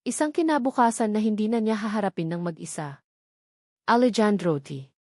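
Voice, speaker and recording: A woman's voice: medium pitch, animated, fast talker, darkish tone, no room sound, clean studio sound. A woman narrating a romantic drama story from a script in an earnest, sentimental manner. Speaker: narrator of love story channel.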